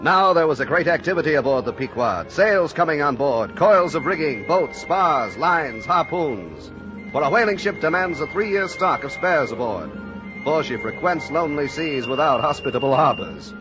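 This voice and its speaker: An actor playing an earnest young sailor who narrates a whaling tale in measured, literary radio-drama style. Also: male voice, fairly high pitch, big pitch swings, over music. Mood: measured storytelling. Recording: muffled vintage radio recording.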